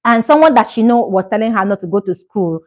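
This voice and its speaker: A woman's voice: mid-range voice, expressive, fast, low-quality recording. A Nigerian woman in her thirties chatting earnestly to camera as a vlogger, encouraging and repeating herself for emphasis. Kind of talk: casual chat to camera.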